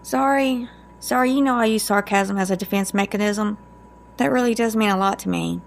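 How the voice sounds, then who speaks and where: A woman playing a guarded, sarcastic female agent with a creaky voice and a folksy, teasing manner in an audio drama. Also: mid-range voice, fairly even intonation, normal speed, slight background noise, close to an everyday voice. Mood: wry, teasing.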